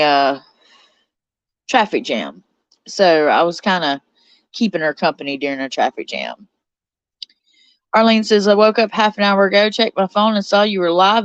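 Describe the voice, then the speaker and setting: A woman's voice: mid-range voice, clean tone, no echo, lo-fi sound. A woman in her forties hosting a casual live stream from a cold bedroom, chatty and a little stuffed up from her sinuses, reading out viewer comments. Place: cold bedroom under a blanket.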